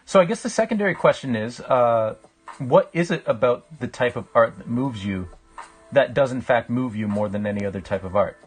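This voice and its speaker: A man, chatting casually with livestream viewers in a reflective, halting way as he thinks aloud. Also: medium pitch, lively intonation, husky. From Canada. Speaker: live-streaming painter. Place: small indoor room with a painting on the wall. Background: music.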